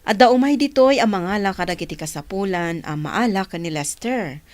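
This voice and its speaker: A woman's voice: mid-range voice, expressive, normal speed, a little mellow, no room sound, natural voice. A woman playing a businesslike hospital doctor in a radio drama, professional in manner.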